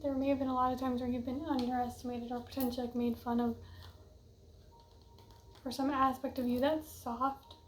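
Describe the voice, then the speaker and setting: A young woman with a soft voice giving a casual oracle card reading, musing and drifting off mid-thought. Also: sits high, a little hiss or hum. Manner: dreamy, musing, often trails off. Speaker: card reader.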